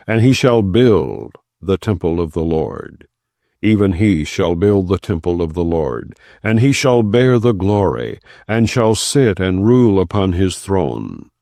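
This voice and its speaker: A man narrating an audiobook in a solemn, non-dramatized scripture reading style, with measured, deliberate phrasing and pauses between clauses. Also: low voice, warm tone, dry recording, studio quality.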